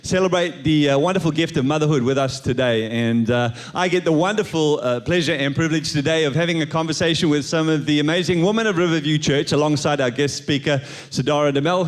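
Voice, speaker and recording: A man hosting an onstage panel interview at a church service, upbeat and with many filler uhs. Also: on the high side. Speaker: host. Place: church stage at a live service.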